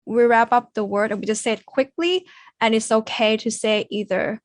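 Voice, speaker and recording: A woman's voice: fairly high pitch, steady tone, dry recording, studio quality. A young woman language teacher giving a conversational explanatory presentation, repeating sounds to demonstrate them. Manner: demonstrates sounds slowly with repetition. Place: indoors on a video call.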